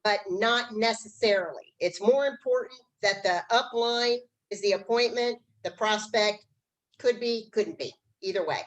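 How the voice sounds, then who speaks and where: A woman's voice: mid-range voice, unhurried, lo-fi sound. A middle-aged woman, a guest business trainer, gives conversational instruction in a plain-spoken, emphatic manner.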